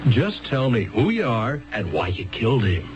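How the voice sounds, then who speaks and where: A man's voice: mid-range voice, sing-song, normal speed, muffled recording, noisy background, natural voice. An actor playing an exasperated, earnest male defense lawyer in a radio drama, stammering and pleading insistently. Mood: exasperated, probing. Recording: band-limited 1970s radio broadcast with music.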